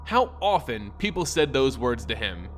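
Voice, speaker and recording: A man's voice: mid-range voice, big pitch swings, moderate pace, slight background noise. A man narrating a scripted fantasy story in a theatrical, brooding manner.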